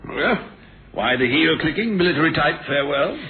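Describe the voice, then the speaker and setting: Domineering patriarch, highish voice, old radio. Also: male voice, animated, slight background noise. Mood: needling. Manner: suave, pointed, deliberate. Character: smooth, domineering, needling older patriarch and boss. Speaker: actor in a radio drama. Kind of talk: 1970s radio mystery drama acting. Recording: thin, phone-like old radio recording.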